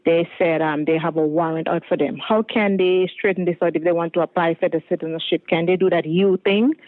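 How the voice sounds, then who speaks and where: A woman caller asks a long, hesitant question on a live show. Her voice sits a little low and sounds dry and close. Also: steady tone, a little fast, a little mellow, no room sound, poor audio. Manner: rambling, hesitant. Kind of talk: phone-in question.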